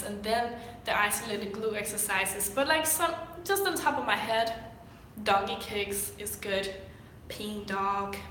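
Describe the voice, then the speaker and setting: A woman's voice: medium pitch, sharp tone. Sick woman chatting on a livestream. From Denmark. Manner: chatty, rambling with fillers. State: sick, clearing throat. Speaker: fitness vlogger. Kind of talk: casual livestream Q&A. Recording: echoey room with faint noise.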